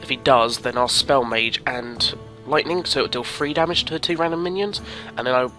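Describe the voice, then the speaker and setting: Sniffly guy chatting through his card game, bright voice, kinda rambly. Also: medium pitch, normal speed, faint music behind. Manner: rambling, chatty, thinking aloud. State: sniffly from a cold. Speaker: gaming commentator. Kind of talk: casual let's-play commentary.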